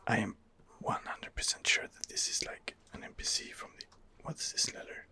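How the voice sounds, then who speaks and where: A man's voice: moderate pace, bright, forceful tone. A man giving whispered ASMR commentary on a game as its host, in a hesitant, rambling manner. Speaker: gaming video host.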